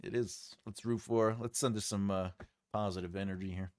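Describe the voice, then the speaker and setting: A man's voice: lowish voice, fairly even intonation, normal speed, no echo, clean studio sound. A man hosting a writing livestream, chatting casually and jokingly as he reads and answers viewer comments, rambling with lots of fillers.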